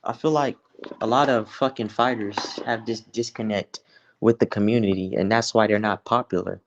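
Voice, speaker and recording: A man's voice: on the low side, fairly even intonation, normal speed, dull audio, noisy background. An American man from Texas giving blunt, profane opinions in a casual, slangy sports chat. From Texas, United States.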